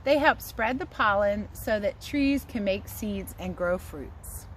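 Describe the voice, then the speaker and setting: A woman's voice: mid-range voice, expressive, takes it slowly, fairly bright tone. A woman teacher reading a picture book aloud to children outdoors, adding casual asides and speaking to her young listeners in an encouraging way. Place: outdoors under trees near a house. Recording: outdoor background noise, passing aircraft.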